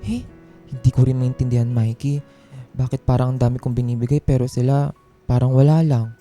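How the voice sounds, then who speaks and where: A man's voice: mid-range voice, little pitch movement, a little fast, warm tone, close to an everyday voice. A Filipino man playing a stressed, plaintive young office worker confiding in a friend in a radio drama. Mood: weary, worried. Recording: music bed under dialogue.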